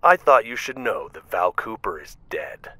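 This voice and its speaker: Casting: actor for bigoted male government heavy, audio drama, forceful lowish voice, dry sound. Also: sing-song, moderate pace, no room sound, heavily acted. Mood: cold, urgent. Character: hard, hostile mutant-hating male government operative.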